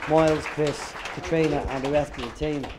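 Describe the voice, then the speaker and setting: A man's voice: fairly high pitch, flat delivery, moderate pace, a crowd in the background, noisy background. An Irish man, a co-host of an internet radio show, presenting on stage at a conference in a chatty, joking way, a little nasal from a cold. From Ireland. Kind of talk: presenting on stage to a live audience.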